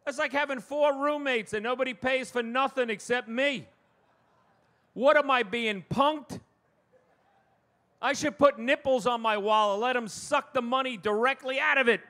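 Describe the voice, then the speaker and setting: Very high-pitched New York stand-up comic, male. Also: moderate pace, pushed and bright. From Long Island, New York. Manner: self-deprecating, punchy one-liners. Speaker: stand-up comedian. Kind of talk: stand-up comedy routine. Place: live comedy show before an audience.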